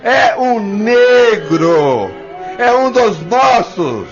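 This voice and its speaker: Man acting a slow, high-pitched commanding spirit. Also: sing-song, full character voice. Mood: solemn, commanding. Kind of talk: audio drama acting. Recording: dull lo-fi audio with music behind.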